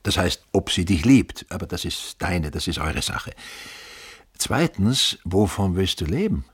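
An actor playing a brisk, boastful older father-in-law in a radio drama, blunt and self-important, with a husky voice. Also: low-pitched, expressive, exaggerated voice. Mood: blunt, businesslike.

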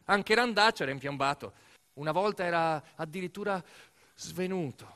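A man performing a dramatic narrative monologue as a stage actor, emphatic, with deliberate repetitions and pauses. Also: high-pitched. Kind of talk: dramatic theatrical monologue storytelling. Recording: noisy recording with loud background ambience.

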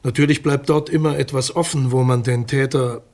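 A man's voice: medium pitch, fairly even intonation, fairly quick, a little mellow, lightly acted. An actor playing a sober, guarded male public prosecutor in a naturalistic radio crime drama, speaking in formal, precise, matter-of-fact officialese. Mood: factual, firm.

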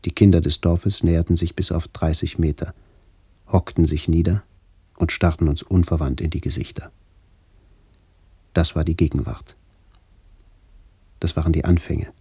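A man with a very deep, dark voice narrates a literary radio piece. His delivery is solemn and measured, slightly husky and recorded very dry and close. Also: fairly even intonation, no echo.

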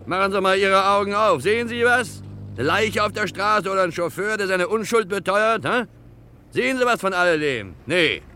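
A man's voice: high-pitched, lively intonation, normal speed, sharp tone, slight background noise. An actor playing a sceptical street policeman in an old radio crime play, dismissive and folksy, tagging on little questions. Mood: sceptical, patronising. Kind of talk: 1950s German radio crime play acting.

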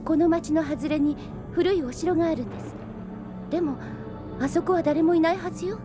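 An actress playing a caring but strict twenty-year-old big sister in an audio drama. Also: female voice, very high voice, brisk. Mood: worried. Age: young adult. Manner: polite to guests, strict with her brother. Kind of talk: Japanese audio drama acting. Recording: loud background music.

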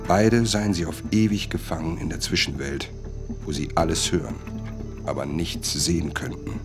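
An actor playing a brooding, world-weary narrator in a radio play, speaking deliberately and dramatically in a gravelly voice. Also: male voice, deep voice, fairly even intonation. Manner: deliberate, dramatic, short phrases. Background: music.

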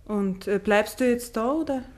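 A woman's voice: a little high, normal speed, darkish tone, lightly acted. An actress playing a plain-spoken, caring young farmhouse woman with a Bavarian accent in a 1950s dialect radio play. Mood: quiet, tender. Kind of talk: 1950s German dialect radio drama acting.

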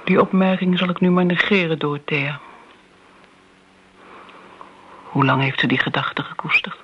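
A woman's voice: low voice, fairly quick, fairly bright tone, slight background noise. An actress playing a wounded, possessive older woman in a radio play, speaking in a grave and wistful way, like a second mother. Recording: muffled old radio recording.

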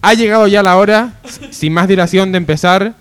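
A man's voice: sits high, fairly quick, a bit bright, smooth. A man hosting a comedy radio show with casual banter.

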